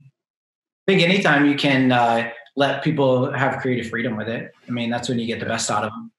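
Guest: man in his 30s, laid-back, rambling, mid pitch, roomy webcam sound. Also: moderate pace. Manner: relaxed, rambling with fillers. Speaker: guest filmmaker. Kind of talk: casual interview answers. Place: small home room with shelves.

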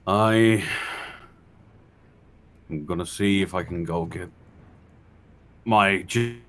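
A man with a somewhat low voice plays a tabletop game. He talks casually, feeling his way haltingly through each move. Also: fairly bright tone.

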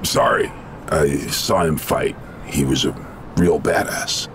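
Casting: cocky game action hero, gravelly, deadpan quips, highly varied pitch. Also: male voice, a little slow, a little hiss or hum, full character voice. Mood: grave, resolute. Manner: deadpan, quipping. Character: cocky, wisecracking tough soldier hero, adult man. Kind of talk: video game voice acting.

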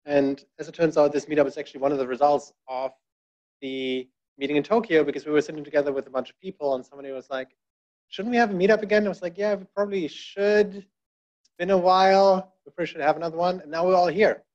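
A man's voice: on the high side, steady tone, quick pace, a little mellow. A man giving a conversational talk at a tech meetup from a podium, with many fillers and wry asides. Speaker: conference speaker. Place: dark presentation room. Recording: dull lo-fi room recording.

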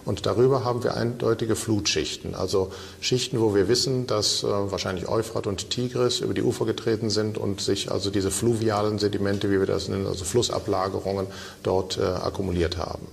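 A man's voice: fairly low pitch, steady tone, a little fast, gravelly. A man speaking as an interviewed scientist, explaining unscripted and clarifying technical terms in asides. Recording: reverberant room with background noise.

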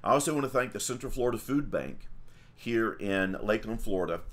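A man's voice: lowish voice, expressive, fairly quick, fairly bright tone. A middle-aged man, a priest, chatting casually on a livestream, folksy and rambling with many fillers and restarts. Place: small home room with icons.

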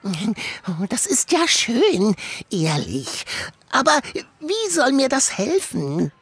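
A worried, determined young boy from a children's audio play. The voice is high and very raspy, with wide swings in pitch and a slow pace. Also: huge pitch swings, dry recording, full character voice. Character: young boy Sammy, worried, determined to save his friends.

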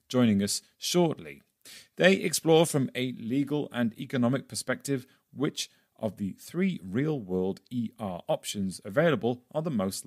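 A man hosting a podcast with a wry, playful manner, moving between scripted introduction and chatty interviewing. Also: medium pitch. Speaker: podcast host and editor.

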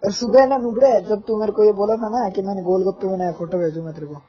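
A man's voice: high voice, fairly even intonation, quick pace, slightly rough. A young man on a casual phone call, ranting insistently with playful threats in a nagging manner. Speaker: caller, gaming fan. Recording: muffled lo-fi phone call recording.

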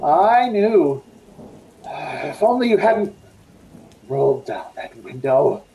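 A man plays an escaped madman and killer in a vintage radio suspense play. He is brooding and unrepentant, with a dark, mellow tone. His delivery is slow and deliberate, sliding widely in pitch. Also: big pitch swings, heavily acted, poor audio. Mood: grim, resolved. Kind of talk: old-time radio suspense acting. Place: indoor room at home, on a video call.

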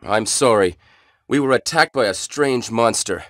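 A man's voice: mid-range voice, measured pace, a bit bright, no echo, clean studio sound, lightly acted. An actor playing a cool, authoritative male squad commander in a stilted nineties video game. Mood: grave, commanding.

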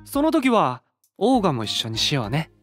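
Eager, lilting schoolboy. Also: male voice, a little high, huge pitch swings, normal speed, a bit bright, faint music behind. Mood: playful. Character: eager, innocent-sounding male student who loves fighting. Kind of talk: audio drama acting.

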